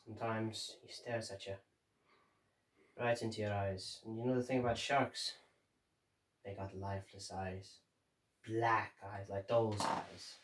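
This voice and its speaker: A man playing a grim, haunted sailor who survived a sinking, reciting a dramatic monologue in halting, measured phrases with deliberate pauses. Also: lowish voice, level tone, slight background noise, natural voice. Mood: ominous. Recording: roomy echo, distant microphone.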